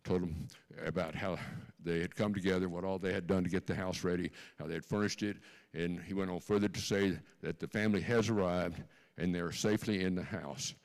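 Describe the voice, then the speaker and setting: A man's voice: sits low, steady tone, fairly quick, few pauses. A man in his seventies with a Texan accent, giving an earnest personal testimony to a church congregation and repeating key lines for emphasis. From Texas, born in Austria. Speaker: guest speaker. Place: church sanctuary.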